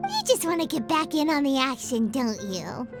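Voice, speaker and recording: A woman voicing a tiny childlike floating companion in a video game, excitable and teasing, with a squeaky voice. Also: moderate pace. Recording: music playing behind the voice.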